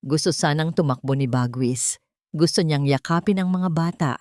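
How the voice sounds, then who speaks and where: A woman narrating a romantic audio drama in a dramatic, expressive storytelling manner. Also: deep voice, normal speed, no echo, clean studio sound.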